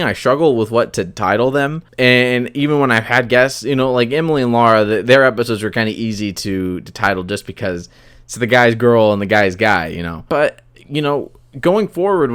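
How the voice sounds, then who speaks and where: A man's voice: medium pitch, fairly bright tone. Man rambling through a solo podcast monologue. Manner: hesitant and rambling, often repeating and restarting phrases. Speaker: podcast host.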